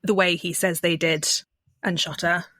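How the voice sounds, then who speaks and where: A woman's voice: lowish voice, flat delivery, normal speed, fairly bright tone, no room sound, studio quality. A woman hosting a podcast in easy casual chat, with short replies and frequent laughs, her microphone set off to the side. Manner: easygoing, laughs often, brief replies. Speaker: podcast host.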